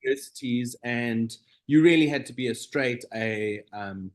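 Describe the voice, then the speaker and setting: A middle-aged man from South Africa, a nurse being interviewed, talking in a relaxed, meandering way with frequent pauses. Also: mid-range voice. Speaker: guest, operating room nurse. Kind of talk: casual interview conversation.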